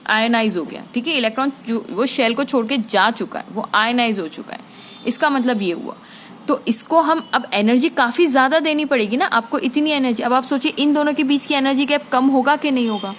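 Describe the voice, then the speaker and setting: A woman's voice: high voice, normal speed, a little hiss or hum. A woman teacher explaining a physics problem step by step, often asking her students checking questions and mixing in English terms.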